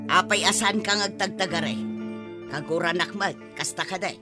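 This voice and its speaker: A woman's voice: fairly low pitch, moderate pace, over loud music, close to an everyday voice. An actress playing a proud, quarrelsome older mother in a radio drama, indignant and scolding. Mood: furious scolding. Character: proud, quarrelsome, stubborn older mother who refuses to apologize. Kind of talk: Filipino radio drama acting.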